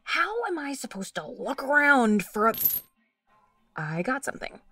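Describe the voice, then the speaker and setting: A woman's voice: sits high, very animated, a little slow, sharp tone, far from the mic, slight background noise, heavily acted. An actress playing a sassy teenage student who teases her friends in a dry way. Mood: teasing. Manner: dry, teasing. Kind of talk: audio drama acting.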